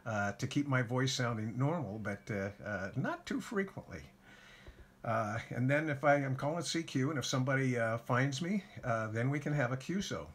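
A man calmly walking through his ham radio station setup in a casual how-to, with lots of filler words. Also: medium pitch. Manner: relaxed, folksy, frequent "uh" fillers. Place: home radio shack by a window.

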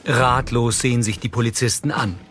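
A man's voice: medium pitch, fairly even intonation, moderate pace, a little hiss or hum. A man reading scripted audio description in a neutral, matter-of-fact way. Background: loud music.

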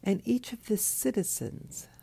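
Woman, just woken, hoarse, gravelly, husky, whispery, slow and halting. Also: mid-range voice. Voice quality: soft, often whispery. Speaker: channel host. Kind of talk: early morning monologue. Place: in bed at night. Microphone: clip-on mic.

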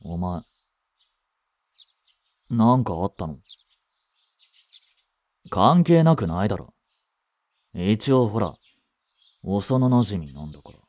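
A man playing a brusque teenage schoolboy, a gruffly caring childhood friend with blunt, casual speech, in a romantic voice drama. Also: fairly low pitch, sing-song, takes it slowly, pauses often, a little mellow, no room sound. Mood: annoyed concern. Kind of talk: Japanese romantic situation voice drama.

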